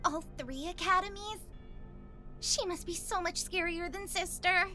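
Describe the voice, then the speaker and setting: Naive anime schoolgirl played by a woman: very high, bright voice, anxious and curious, light music behind. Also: unhurried, exaggerated voice. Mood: puzzled. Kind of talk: anime fan dub acting. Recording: music under the dialogue.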